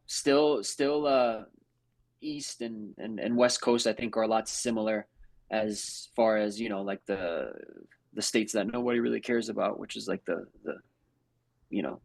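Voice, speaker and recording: A man in his thirties from Brazil, raised in New York, a native Portuguese speaker chatting in a relaxed, rambling way as a guest on a podcast. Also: on the low side, vocal fry, no room sound. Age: 30s. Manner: laid-back, rambling, lots of fillers and "bro", "man". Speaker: guest, house flipper. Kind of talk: casual podcast chat.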